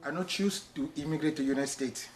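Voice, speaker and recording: Earnest man from Congo, halting English. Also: a little high, roomy sound, faint music behind. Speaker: interviewee. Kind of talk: documentary interview.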